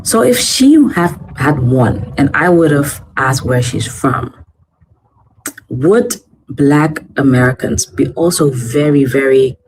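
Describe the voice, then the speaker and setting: A woman's voice: very deep voice, expressive, slow, a little mellow. A woman from Ghana speaking in a played clip, musing in a hesitant way with fillers and rhetorical questions. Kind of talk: casual opinion talk. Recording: noisy clip audio.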